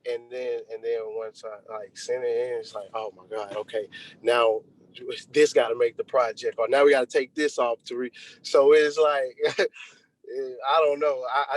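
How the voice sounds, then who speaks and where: A man's voice: mid-range voice, no echo, low-quality recording. A young man being interviewed as a rapper, chatting casually in slang over a video call. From Texas, United States. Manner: slangy, conversational. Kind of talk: casual chat.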